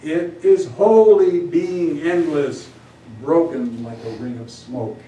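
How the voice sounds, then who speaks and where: A man's voice: on the high side, takes it slowly, mellow. A man voicing an ancient, noble Lakota medicine man in an epic poem, solemn, commanding and incantatory, in a room. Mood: commanding, reverent. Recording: echoey room, distant mic, background noise.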